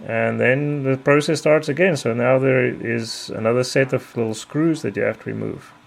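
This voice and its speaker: A middle-aged man whose first language is Afrikaans, narrating a repair tutorial in a measured, careful way with frequent ums. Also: mid-range voice, gravelly. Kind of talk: explanatory voice-over.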